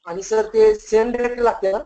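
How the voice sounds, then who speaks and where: A man's voice: high-pitched, steady tone, a little fast, dark tone. Casting: young-student role, male, hesitant and respectful questioner, heard muffled and off-mic. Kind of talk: asking questions in class. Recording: dull, muffled, distant classroom pickup.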